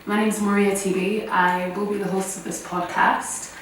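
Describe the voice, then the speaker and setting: A woman's voice: medium pitch, level tone, unhurried, faint music behind. A woman in her twenties hosting a casual group podcast, chatty and full of "like" fillers. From Scotland. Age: 20s. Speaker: podcast host. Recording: echoey room, distant mic, faint noise.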